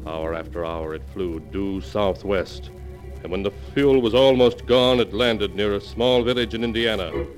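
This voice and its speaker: A man with a fairly low voice narrates in the manner of a 1940s radio mystery. His delivery is deliberate and theatrical, and music plays clearly behind him on the old broadcast. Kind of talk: 1940s scripted dramatic narration.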